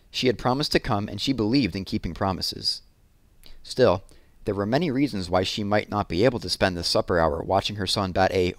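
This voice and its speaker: A man with a fairly low voice reads a children's story aloud. He narrates as a storybook reader, recorded dry with very little room sound. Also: no echo.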